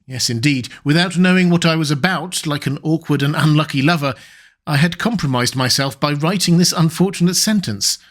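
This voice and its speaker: A man reading a classic adventure novel aloud, his voice a touch higher than most men's. He keeps a measured, even pace through the narration. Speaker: audiobook narrator.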